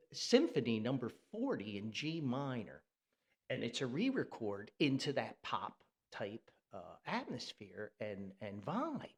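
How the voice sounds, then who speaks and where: A man with a New Jersey accent, hosting a podcast and talking about music in a rambling, enthusiastic way, with fillers and chuckles. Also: medium pitch. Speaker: podcast host and music critic. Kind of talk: casual, unscripted podcast commentary.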